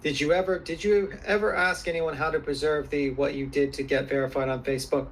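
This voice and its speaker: A man questioning a witness as an attorney, formal and persistent, repeating questions deliberately. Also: on the high side, fairly even intonation, poor audio. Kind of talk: formal deposition cross-questioning.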